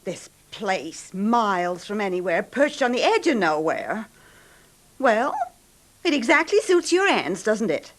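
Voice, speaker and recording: An actress playing a scornful, cutting younger wife in a 1970s radio drama, with theatrical, mocking emphasis. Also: female voice, mid-range voice, big pitch swings, a little slow. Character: bitter, sardonic younger wife of rich recluse.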